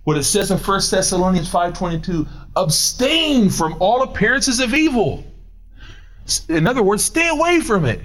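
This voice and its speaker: Pastor, 40s, male: high, swooping delivery, paces the pulpit, argues with imagined doubters. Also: moderate pace. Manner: animated, swooping pitch, paces while preaching; answers his own imagined questions. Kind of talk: sermon.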